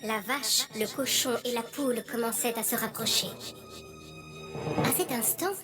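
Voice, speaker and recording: Woman storyteller for kids, highish voice, scripted fairy tale narration, music under it. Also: measured pace.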